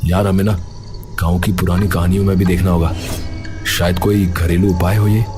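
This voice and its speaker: A man voicing an anxious young village friend in a cartoon story, with a colloquial, excitable way of talking. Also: low voice, moderate pace, music in the background, natural voice. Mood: wary.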